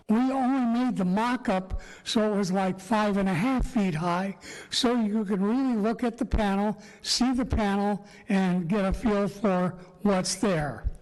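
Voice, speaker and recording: Slow raspy man in echoey chamber. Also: high voice, a little mellow. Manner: slow, halting, many fillers. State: sore throat, slightly raspy. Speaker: applicant speaking at council meeting. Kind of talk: public presentation. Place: council chamber.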